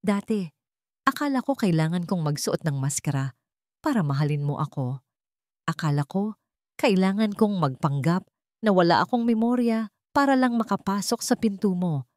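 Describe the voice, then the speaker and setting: A woman's voice: lowish voice, expressive, moderate pace, pauses often, darkish tone, no room sound, studio quality, close to an everyday voice. Woman voicing an earnest, emotional groom. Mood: earnest confession. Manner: trembling, earnest. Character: devoted, emotional adult groom pledging his love.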